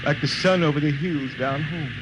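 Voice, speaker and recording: A man's voice: normal speed, close to an everyday voice. An actor playing a poor old blind spaceman, stubborn and wistful, with folksy slangy speech, in radio drama. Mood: defiant, pained. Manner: folksy drawl with slangy, dropped grammar. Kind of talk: 1950s radio drama acting. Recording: noisy old 1950 radio recording, loud rumble.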